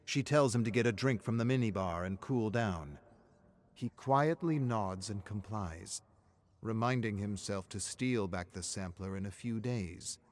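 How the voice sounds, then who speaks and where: A man reading dramatic scripted narration of a dark story in a measured, grave manner. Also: fairly low pitch.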